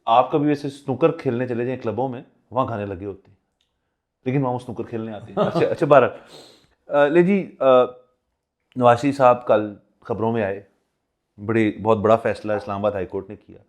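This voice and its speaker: A man's voice: medium pitch, brisk. A man with a Pakistani accent co-hosting a podcast, explaining the news in casual chat and often echoing his partner's phrases. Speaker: podcast co-host.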